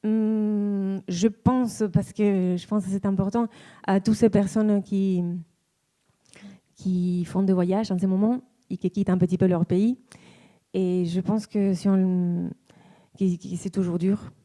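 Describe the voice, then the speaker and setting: A woman from Argentina in her forties with an Argentine accent, a tango dancer and teacher, speaking in a thoughtful, halting way as she searches for words. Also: mid-range voice, fairly even intonation, dark tone. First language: Spanish. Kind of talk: personal reflective talk.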